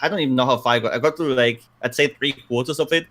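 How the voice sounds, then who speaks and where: A man's voice: mid-range voice, racing, fairly bright tone. A man co-hosting a gaming podcast, speaking very informally and swearing freely. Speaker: podcast co-host. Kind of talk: casual gaming podcast chat.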